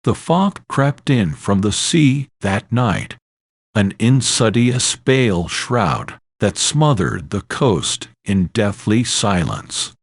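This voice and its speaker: A man's voice: mid-range voice, dry recording, clean studio sound. A man narrating a scripted horror story in an ominous, theatrical and solemn manner.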